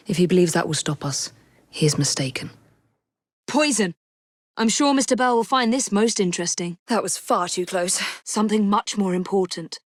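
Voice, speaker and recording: Casting: woman playing young Victorian female assassin for a game, English accent, composed, determined, dryly witty, mid-pitched, very dry studio sound. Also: no echo, close to an everyday voice. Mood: stern, urgent. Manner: crisp, formal, composed phrasing. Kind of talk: dramatic video game voice acting.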